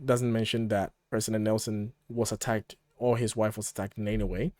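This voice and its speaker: A man co-hosting a podcast, giving conversational commentary and recapping in an animated, paraphrasing way. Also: on the low side, fairly quick, no echo, studio quality. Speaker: podcast co-host.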